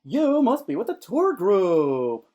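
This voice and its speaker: Lady doing a welcoming guard in an audio drama, dark husky tone, exclaims everything. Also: mid-range voice, big pitch swings, takes it slowly. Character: welcoming factory gate guard. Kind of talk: scripted audio play acting.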